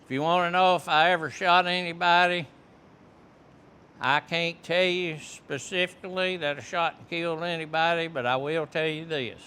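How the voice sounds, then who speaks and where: A man, a veteran telling his story to a high school class in a plain, folksy, unhurried way. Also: steady tone, sharp tone, clean tone. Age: 70s. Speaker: veteran guest speaker. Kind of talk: classroom talk to students.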